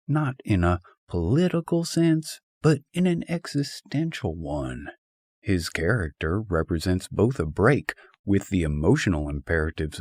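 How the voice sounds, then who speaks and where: A man reading a humorous philosophical essay as a scripted audiobook, in a wry and expressive way. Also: low-pitched, dry recording, studio quality. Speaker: audiobook narrator.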